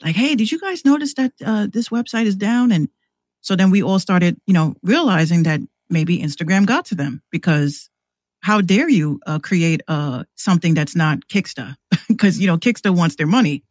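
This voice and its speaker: A woman guesting on a podcast, chatting and telling stories casually in a slangy, halting way with repeated words. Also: fairly low pitch, expressive, a little mellow, no room sound. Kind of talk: casual chat, storytelling.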